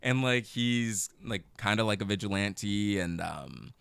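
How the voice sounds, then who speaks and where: A man's voice: fairly low pitch, measured pace, creaky, a bit bright, smooth, no room sound. A man chatting casually as a podcast guest, often searching for his words. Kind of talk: casual chat.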